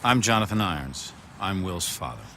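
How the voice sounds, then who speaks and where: An actor playing a commanding older businessman and bereaved father in a video game, deliberate, pointed and persuasive. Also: sits low, big pitch swings, sharp tone, slight background noise, heavily acted. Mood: cool, persuasive. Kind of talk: dramatic video game acting.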